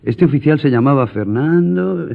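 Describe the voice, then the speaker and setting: An actor playing a proud, composed gentleman journalist in a radio drama, speaking formally and firmly. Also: on the high side, lively intonation, vocal fry, narrow, tinny audio. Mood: dry, questioning. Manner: formal, measured, firm. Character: proud, composed male newspaper journalist, duel-ready gentleman.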